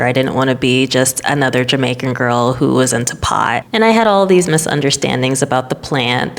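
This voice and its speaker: Low-pitched woman from florida, herbalist vlogging, candid and reflective, takes her time. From Florida, United States. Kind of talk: personal storytelling to camera.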